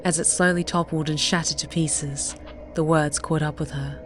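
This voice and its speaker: A woman narrating an audiobook story in a measured, dramatic storytelling manner. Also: deep voice, fairly even intonation, noisy background. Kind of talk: scripted dramatic fiction narration. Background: music.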